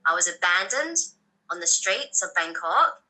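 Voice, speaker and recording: A woman from Thailand introducing herself slowly and carefully as an interview guest. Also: lowish voice, big pitch swings, bright, forceful tone, low-quality recording.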